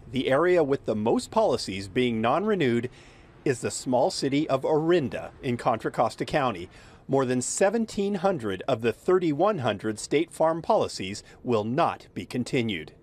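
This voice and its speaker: An older man reporting a local news story in a measured narration. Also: mid-range voice. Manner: measured, lively reporting delivery. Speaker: field reporter.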